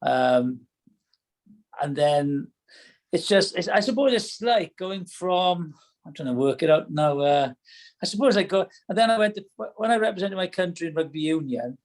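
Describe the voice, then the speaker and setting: This is a middle-aged man with a Welsh accent and a voice pitched a little high. He speaks as a podcast guest in relaxed, reflective chat. He often hesitates and restarts mid-sentence. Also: moderate pace. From Wales.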